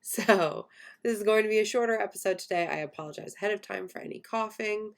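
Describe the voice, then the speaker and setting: A woman in her late twenties hosting a casual podcast, croaky and hoarse while getting over an illness, with a voice that cracks now and then. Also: medium pitch, quick pace. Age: 20s. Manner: chatty, self-deprecating, laughs often. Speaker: podcast host. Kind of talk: casual chat.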